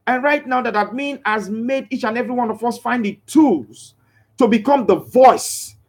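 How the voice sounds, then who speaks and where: A man's voice: very high voice, normal speed, breathy edge. A man hosting a motivational live broadcast, preaching with emphatic repeated phrases and stopping to read out and answer viewers' comments. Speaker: live broadcaster promoting a crypto scheme.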